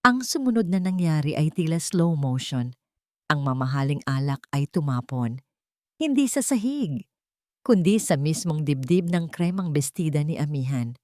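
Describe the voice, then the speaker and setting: A woman narrating a scripted romance story in a deliberate, emotive, dramatic way. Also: sits low, expressive, darkish tone, dry recording, clean studio sound.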